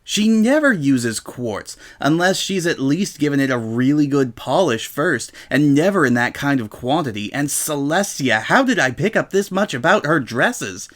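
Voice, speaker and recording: A man's voice: on the high side, big pitch swings, moderate pace, few pauses. A man voicing a brash, boastful young female pegasus pony in a story reading, with a casual, grumbling, self-important manner. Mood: grumbling, incredulous.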